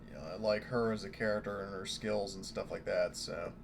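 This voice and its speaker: A man's voice: on the low side, steady tone, fairly quick, gravelly, background noise. A man playing a tabletop roleplaying game, talking casually with the group. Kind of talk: casual chat.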